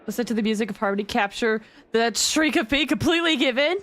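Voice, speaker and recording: A woman's voice: a little high, lively intonation, fast talker, a bit bright, no echo. A woman live-streaming a game, chatting in reaction to it and reading dialogue choices aloud.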